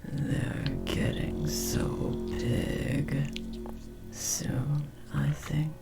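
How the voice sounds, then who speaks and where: A man voicing a possessive insectoid parasite, a doting yet menacing lover, in a breathy, gravelly whisper with slow, theatrical crooning for an audio horror roleplay. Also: on the high side, level tone, over loud music, full character voice. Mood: crooning, smug.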